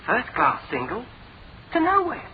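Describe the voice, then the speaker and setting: A man's voice: very high voice, huge pitch swings, a little slow, a crowd in the background, heavily acted. A man playing an eager, cheeky young detective's assistant in a radio drama, with a British accent and an informal, chirpy manner. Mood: puzzled. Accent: British English. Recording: tinny, band-limited old radio recording, background babble.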